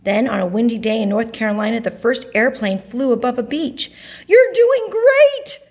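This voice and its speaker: A woman reading a picture book aloud to children, narrating expressively with dramatic pauses and emphasis. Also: on the high side, fairly even intonation. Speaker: storybook reader.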